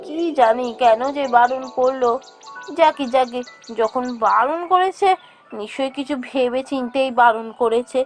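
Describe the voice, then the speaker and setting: Woman acting a high-pitched, caring village wife. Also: measured pace, soft background music. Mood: puzzled, resigned. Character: caring, worried village wife working as a maid. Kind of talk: cartoon voice acting.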